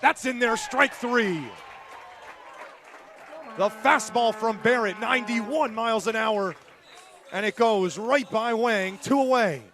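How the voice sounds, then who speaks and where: A man's voice: very high-pitched, takes it slowly, pauses often, a bit bright, slight background noise. A man doing live play-by-play sports commentary in punchy, clipped phrases, as an announcer calling the game. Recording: live stadium crowd behind the voice. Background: outdoor ballpark crowd.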